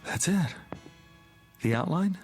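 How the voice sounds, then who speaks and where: Worried dad, fairly high male voice, lively intonation, earnest, questioning, radio drama, faint music. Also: slow pace. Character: worried father, questioning and eager to act. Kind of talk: modern radio drama acting.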